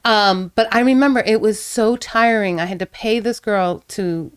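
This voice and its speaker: A woman's voice: mid-range voice, moderate pace, smooth, no room sound, studio quality. A middle-aged woman with a faint Boston accent, chatting casually as a podcast guest and telling comic anecdotes. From Boston area, United States. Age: middle-aged, around fifty. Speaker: podcast guest, stand-up comedian.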